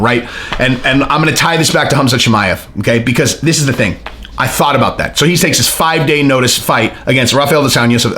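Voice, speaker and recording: Animated American man ranting. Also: on the high side. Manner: animated, emphatic, repeats points, swears. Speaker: MMA commentary channel host. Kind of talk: casual opinion rant.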